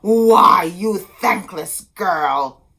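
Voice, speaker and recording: A woman voicing an evil storybook sorceress, commanding and scornful, with loud, dramatic delivery. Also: mid-range voice, expressive, drawn-out words, gravelly, full character voice. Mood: furious. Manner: loud, dramatic calling and scolding. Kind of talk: theatrical storybook read-aloud.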